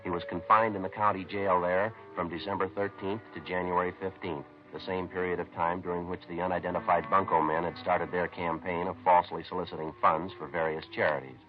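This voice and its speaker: An actor playing a terse, businesslike police sergeant who narrates a 1950s radio crime drama in a deadpan, matter-of-fact way. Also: male voice, low-pitched, brisk, few pauses, close to an everyday voice. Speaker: narrator and lead police detective. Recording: dull vintage radio recording with music.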